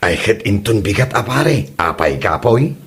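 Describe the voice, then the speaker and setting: A man's voice: on the low side, a little slow, husky. A young man acting in a comedic audio drama, a jokey son who drives a tricycle and laughs often. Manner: lively and teasing, laughs often. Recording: music bed behind the voice.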